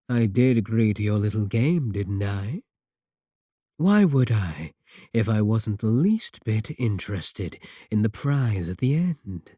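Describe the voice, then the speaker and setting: Casting: male actor for manipulative warlock villain in romantic audio roleplay, lowish dark voice, teasing and possessive. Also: no room sound, studio quality. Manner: slow, teasing, deliberate, with drawn-out phrasing.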